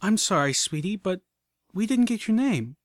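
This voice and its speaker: A man doing a soft-spoken, refined pony mare, lifted somewhat higher than his own voice. Her tone is soothing and courteous, and the reading sounds dry and close to the mic. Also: very animated, moderate pace, dry recording. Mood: gentle. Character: gentle, refined adult mare, kindly and polite.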